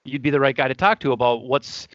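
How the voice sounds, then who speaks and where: A man's voice: mid-range voice, fast, a bit bright, no room sound, lo-fi sound. A man hosting a podcast in a casual, earnest, conversational way. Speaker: podcast host.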